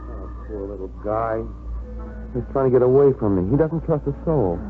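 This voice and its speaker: An American actor playing a brisk but tender man in a 1950s radio drama. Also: medium pitch, animated, faint music behind, close to an everyday voice. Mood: tender, soothing. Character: Mark, brisk, tender man rescuing a hurt dog. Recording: old radio broadcast, narrow band, very noisy.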